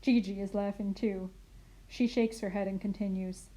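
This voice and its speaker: A woman reading a scripted children's story as narrator, in an expressive storytelling manner. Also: mid-range voice, moderate pace, poor audio. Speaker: narrator and reader.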